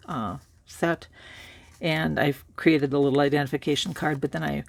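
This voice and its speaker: Raspy woman with a cold. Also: low-pitched. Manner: relaxed, rambling, with many fillers and restarts. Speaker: crafter showing a handmade journal. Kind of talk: casual show-and-tell.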